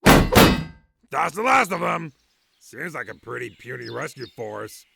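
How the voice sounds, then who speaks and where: Man playing gravelly, swooping cartoon detective boss. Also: medium pitch, very animated, slow, bright, forceful tone, faint music behind, heavily acted. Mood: confident. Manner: assertive, emphatic. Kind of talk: cartoon comic fandub acting.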